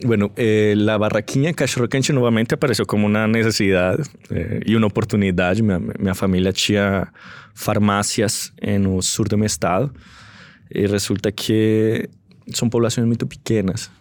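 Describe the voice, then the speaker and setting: A man's voice: on the low side, moderate pace, no room sound. A young adult man from Colombia with a Colombian Spanish accent, telling stories as a chef in an interview, slipping in Spanish words and laughing often. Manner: relaxed storytelling, mixes in Spanish words. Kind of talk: interview answers.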